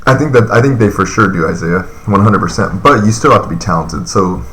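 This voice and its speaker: A man's voice: lowish voice, animated, fairly quick, fairly bright tone, reverberant. A man in his thirties chatting casually on a live stream, reading and answering viewer comments in a loose, rambling way with lots of fillers. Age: 30s.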